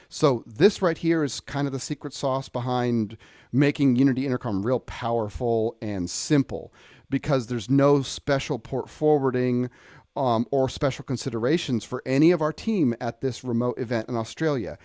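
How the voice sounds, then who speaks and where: A man presenting an informal software tutorial, thinking aloud in an unpolished, slightly apologetic way as he explains each step. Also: mid-range voice, no room sound, studio quality. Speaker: presenter demonstrating audio software.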